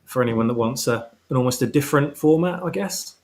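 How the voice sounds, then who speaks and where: Quick-talking man as a stream guest. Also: medium pitch, darkish tone. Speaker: guest, audio drama creator. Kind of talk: casual chat on a live stream.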